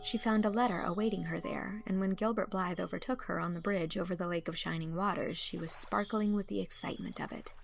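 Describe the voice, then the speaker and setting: A woman narrating a literary audiobook with expressive, storyteller phrasing. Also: on the low side, brisk, gravelly, few pauses, soft background music.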